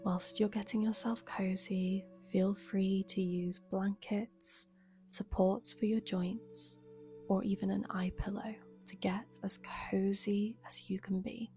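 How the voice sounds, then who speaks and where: A woman guiding a meditation as a yoga nidra teacher, speaking softly and soothingly with a breathy, unhurried delivery. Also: medium pitch, flat delivery, soft background music. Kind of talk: guided meditation.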